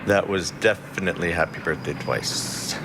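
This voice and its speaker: A man voicing a stern, sarcastic military husband from a fan story, flat and serious. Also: low-pitched, normal speed, noisy background, pitched below the performer's own voice.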